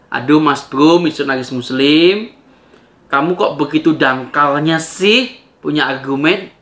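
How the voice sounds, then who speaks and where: A man's voice: high-pitched, sharp tone. A man speaks straight to his viewers like a preacher making a rebuttal. His delivery is emphatic and challenging, leaning on pointed rhetorical questions.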